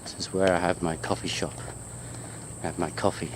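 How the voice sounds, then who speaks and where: A man's voice: sits low, little pitch movement, brisk. A man chatting casually and off the cuff as a lecturer filming himself on a walk, jokey and easily sidetracked. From United Kingdom. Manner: rambling, easily distracted, jokey. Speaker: university lecturer. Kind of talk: casual unscripted walking video chat. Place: outdoors, walking through botanical gardens. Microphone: handheld wide-angle sports camera. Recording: outdoor ambient noise while walking.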